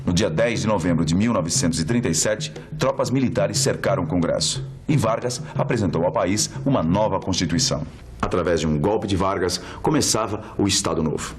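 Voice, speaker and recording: A Brazilian man with a deep voice presents an educational documentary. He explains in a scripted style, with music playing behind him. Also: fairly quick.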